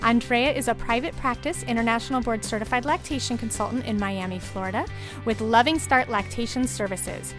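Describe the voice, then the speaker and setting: A woman's voice: on the high side, fairly quick, over music. A woman hosting a podcast from a studio, opening the show in an upbeat, welcoming way. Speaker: podcast host.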